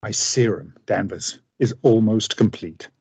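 An actor in a radio play playing an obsessive, idealistic scientist with a husky voice and an intense, grandiose, formal manner. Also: male voice, on the low side, unhurried, darkish tone, dry recording, heavily acted. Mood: fervent, persuasive. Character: obsessive, idealistic male scientist with a vengeful streak.

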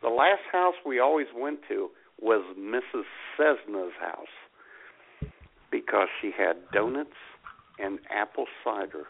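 A man hosts a radio show in casual comedic banter. His pitch is mid-range and moves around a lot, with a gravelly creak in the voice. The sound is slightly dull and lo-fi, like compressed audio. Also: animated, slow.

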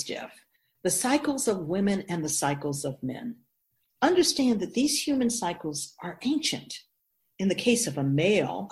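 Radio drama: ageless female keeper of souls an actress, wise and instructive, deliberate pauses, lower register with animated melody. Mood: assured, explanatory. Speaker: teacher figure in a radio drama. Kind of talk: scripted radio drama, explanatory dialogue.

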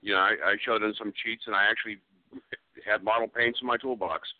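Radio guest: man, joking and offhand, mid-pitched, close dry phone line. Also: steady tone, fast, no echo. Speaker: guest, special effects worker. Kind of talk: casual interview chat. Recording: phone-like narrow-band audio.

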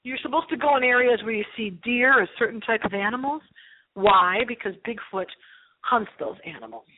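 A woman with a fairly high voice hosts a radio show alone, rambling in a theatrical, emphatic way. She repeats phrases for effect. The sound is thin and narrow, as if carried over a telephone line. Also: animated, a little fast. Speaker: host of a paranormal radio show. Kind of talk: rambling solo radio monologue.

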